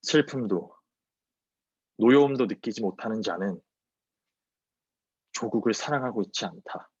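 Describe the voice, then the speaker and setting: A man's voice: lowish voice, racing, darkish tone, slightly rough, no room sound. A man in his twenties giving a solemn, declamatory dramatic recitation of a defiant statement. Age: 20s. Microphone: wireless earbuds on a video call. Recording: dull, lo-fi video-call audio.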